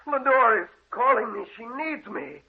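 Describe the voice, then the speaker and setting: A man's voice: very high-pitched, lively intonation, a little slow, vocal fry, breathy edge, exaggerated voice. An actor playing a distraught, half-mad bereaved man, pleading and calling out melodramatically in an old radio drama. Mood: desperate, calling out. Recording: noisy, tinny old radio recording.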